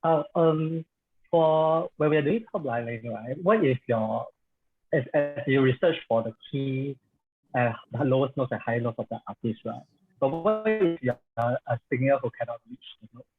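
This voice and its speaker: A man's voice: medium pitch, lively intonation, normal speed. A man from Singapore, a student asking a question on a video call, hesitant and checking with "right?". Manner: hesitant, asks with "right?" tags. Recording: muffled phone-like line over a call.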